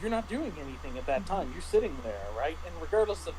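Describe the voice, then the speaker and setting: Man, game master, casual roleplaying discussion, halting explanatory delivery, noisy recording. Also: a little high, sing-song.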